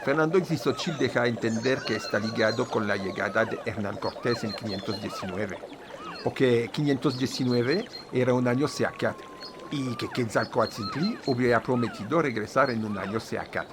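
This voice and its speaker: Man, lively up-and-down pitch, hesitant fillers, home recording with clear background noise. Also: normal speed. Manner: hesitant, full of fillers like "este" and "pues"; says his own Spanish is a bit crooked. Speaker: video presenter on Nahua history. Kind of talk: informal lecture, partly read aloud.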